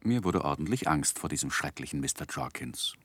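An actor playing an earnest, polite young gentleman who tells his life story in a 1960s radio drama, with measured literary delivery. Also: a bass voice, animated, dry recording, full character voice. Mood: reflective, narrating.

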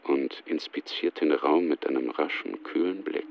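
A man's voice: extremely low pitch, normal speed. A man reading present-tense scripted scene narration in a radio drama. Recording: dull band-limited sound, faint background noise.

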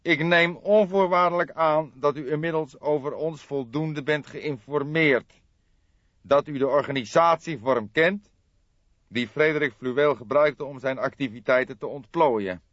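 An indignant, threatening gangster from a crime audiobook, acted out by a male narrator. He speaks deliberately and emphatically in a raised man's voice. The recording is close and dry, a little dull. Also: a little high, fairly bright tone, no echo, voice pushed up. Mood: menacing. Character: violent gangster nephew, indignant, menacing, formal Dutch man. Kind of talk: dramatised audiobook character reading.

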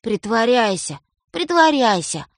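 Sulky little boy, read by a woman, slow and hesitant. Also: a little high, big pitch swings, no room sound, clean studio sound. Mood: defiant. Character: stubborn small boy, capricious and mischievous.

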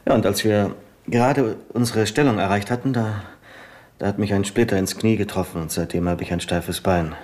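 A man's voice: on the low side, steady tone, a little mellow, natural voice. A man playing a hesitant, humble secretary. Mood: earnest. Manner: hesitant, halting, earnest. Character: humble, rattled secretary and war veteran, man. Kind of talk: 1970s radio play acting.

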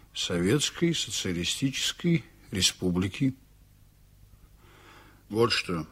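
A stern ship's captain in a Soviet radio drama. His mid-pitched voice is deliberate and formal, giving orders with composed authority. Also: a bit bright, breathy edge. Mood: grave, thoughtful. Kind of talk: 1980s Soviet radio play acting.

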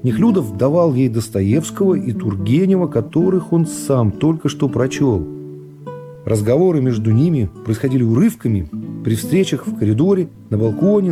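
A man narrating a literary radio play in a measured, expressive storytelling manner. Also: a little high. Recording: music behind the voice. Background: plucked guitar music.

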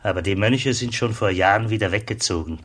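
Kindly border guard for radio play: low husky voice, simple, familiar, devout. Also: male voice, brisk, fairly bright tone. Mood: friendly. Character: kindly, plain-spoken, devout border customs officer. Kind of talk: German radio drama acting.

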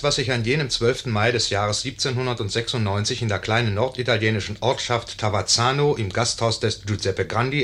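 A wry, urbane storyteller narrates an old radio comedy. The voice is fairly low and moves with animated intonation. He is conversational and confiding, savouring the suspense. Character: wry, urbane male storyteller addressing listeners. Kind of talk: 1950s scripted radio play narration.

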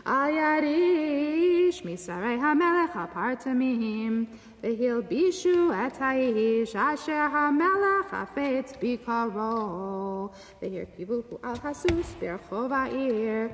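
High female chanting voice, big hall reverb. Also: animated, soft background music. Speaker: reader of character lines in a group scripture reading. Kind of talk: chanted liturgical character reading.

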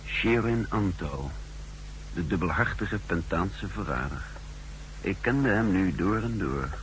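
A man playing a calculating spy who narrates his thoughts in a 1960s radio drama, deliberate and introspective. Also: deep voice, fairly bright tone, slight background noise, rough recording. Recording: humming old 1960s radio recording.

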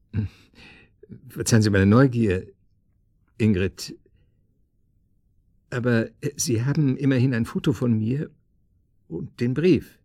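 Middle-aged suitor for radio drama: courteous, hesitant, coaxing, slightly rough voice, dry studio sound. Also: male voice, mid-range voice, takes it slowly, pauses often, dark tone, dry recording. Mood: nervous, earnest. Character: eager, flirtatious man courting a blind date. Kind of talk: naturalistic radio drama acting, phone conversation.

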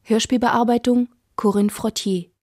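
A woman's voice: a little high, fairly even intonation, measured pace, a little mellow, a little hiss or hum. A woman giving terse, stylised scripted narration in a radio play. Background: music.

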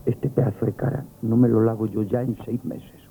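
An actor in a Spanish radio comedy playing a nervous, lovestruck young grocery lad, gushing and stammering with an accent from Spain. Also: male voice, lowish voice, flat delivery, moderate pace, creaky, heavy background noise. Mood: smitten, flustered. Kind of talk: 1970s radio comic theatre acting. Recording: band-limited vintage radio broadcast.

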